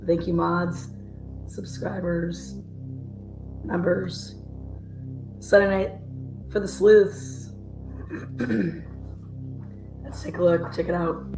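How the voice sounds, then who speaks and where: A woman with a low, very raspy voice that sounds worn out from overuse, speaking slowly in an echoey room. She hosts a livestream commentary, halting and often clearing her throat. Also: steady tone, over music. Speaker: host. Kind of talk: casual livestream case commentary.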